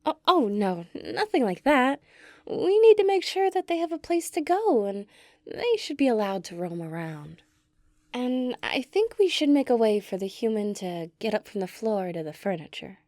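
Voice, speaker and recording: A woman playing a gentle, excitable young giantess who dotes on a tiny human, cooing tenderly in an ASMR roleplay. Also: fairly high pitch, moderate pace, dry recording, studio quality. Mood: excited.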